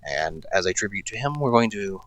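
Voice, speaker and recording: A man presenting a music radio show in a chatty, halting way with animated emphasis. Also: on the low side, dry recording. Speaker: radio horror show host.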